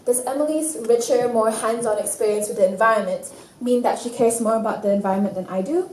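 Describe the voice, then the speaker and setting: A woman's voice: a little high, fast, a little mellow. A teenage girl giving a prepared student talk at a conference. She speaks conversationally, with "um"s and dry asides. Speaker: student presenter. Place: conference hall. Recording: distant mic in an echoing hall with faint noise.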